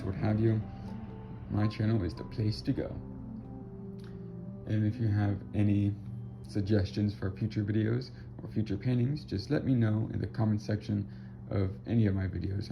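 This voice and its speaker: A man with a raspy voice talking casually about his finished spray painting, hesitant with many pauses and fillers. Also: lowish voice. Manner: hesitant, many ums and restarts. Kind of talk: casual video commentary. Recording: muffled audio with loud music behind. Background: loud background music.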